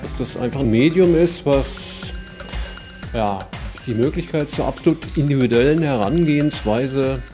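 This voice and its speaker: A middle-aged man giving thoughtful interview answers, deliberately searching for his words. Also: mid-range voice, mellow, faint music behind. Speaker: interviewee, cassette culture radio host and musician.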